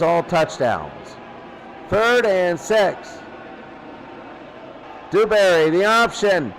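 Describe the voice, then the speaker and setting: A man's voice: high-pitched, a little hiss or hum, low-quality recording. A man doing live radio play-by-play football commentary in clipped phrases that he often repeats. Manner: repeats phrases, clipped present-tense calls.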